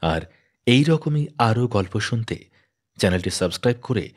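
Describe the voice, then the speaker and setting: A man's voice: fairly low pitch, expressive, moderate pace. A man giving a scripted outro announcement as the announcer of a channel.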